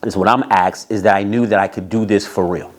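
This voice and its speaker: A man's voice: on the low side, steady tone, a little fast. A middle-aged American man telling stories from his career in a casual, emphatic way, repeating phrases for emphasis. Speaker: host, former record label A&R representative. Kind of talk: casual autobiographical storytelling.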